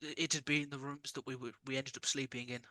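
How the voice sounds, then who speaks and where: A man's voice: medium pitch, level tone, quick pace, pushed and bright, dry recording, studio quality. A man from the English Midlands chatting casually as a player in a tabletop roleplaying game.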